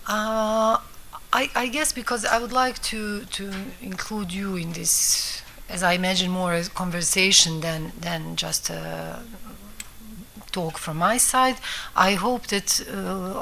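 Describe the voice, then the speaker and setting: Low-voiced Bosnian woman, reflective. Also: steady tone, creaky, bright, forceful tone. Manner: reflective, halting phrasing in non-native English. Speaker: film director giving a talk. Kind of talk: informal public talk, conversational.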